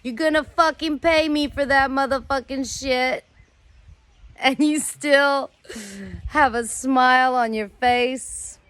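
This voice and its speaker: An American woman telling rambling personal stories casually as a host, in a drawn-out way with some swearing. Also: high-pitched, steady tone, fairly bright tone, clean tone, no room sound. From United States. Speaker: host of a personal storytelling show.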